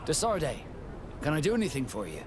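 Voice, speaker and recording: An actor playing a reserved, dry sea captain in a video game, curt but polite. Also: male voice, on the high side, huge pitch swings, fast talker, noisy background. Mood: reluctant. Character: guarded, dry sea captain, reluctantly at service.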